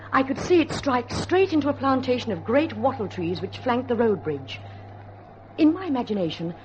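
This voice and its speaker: A woman playing an anxious, determined young niece in a suspenseful radio drama, speaking and narrating in an earnest, literary way. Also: fairly high pitch. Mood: tense, uneasy. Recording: muffled old radio recording, faint noise.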